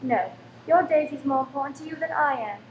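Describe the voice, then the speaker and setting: A woman acting a fretful, careworn Victorian landlady and sharp-tongued wife in an old-time radio play. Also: sits high, brisk, lightly acted. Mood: bitter, worried. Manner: anxious, complaining, dramatic. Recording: roomy stage recording, faint background noise.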